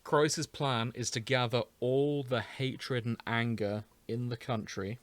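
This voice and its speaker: A man's voice: medium pitch, steady tone, takes it slowly, dry recording. Male podcast host, mid-range voice, explaining plots conversationally in a dry-sounding space. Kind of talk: casual chat, explaining a show's plot.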